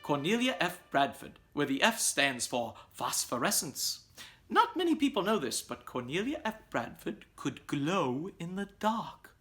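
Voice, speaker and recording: Man putting on a high, husky, fussy storytime host. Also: sing-song, unhurried, bright, forceful tone, dry recording, recorded from a distance, faint music behind, exaggerated voice. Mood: grandly welcoming. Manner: theatrical, exclaiming, sing-song address to children. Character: fussy theatrical host of a children's storytime programme. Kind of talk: hammy comedic children's read-aloud.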